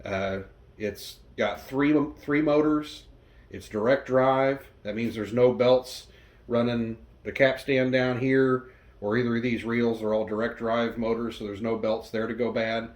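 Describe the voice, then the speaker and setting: A man's voice: medium pitch, steady tone, unhurried. A man hosting a review channel, explaining things in a casual, conversational product walkthrough. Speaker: host of a vintage electronics review channel.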